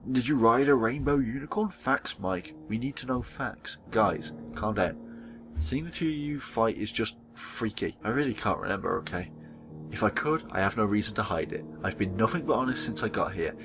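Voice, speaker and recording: A man's voice: fairly low pitch, expressive, moderate pace, over music. A man hosting a gaming video, reading visual novel dialogue aloud dramatically and acting out the characters, with casual commentary.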